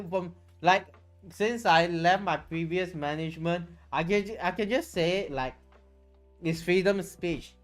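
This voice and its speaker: A livestreamer with a Malaysian accent, chatting casually in a rambling way and often restarting phrases. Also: mid-range voice. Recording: music playing behind the voice. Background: background music.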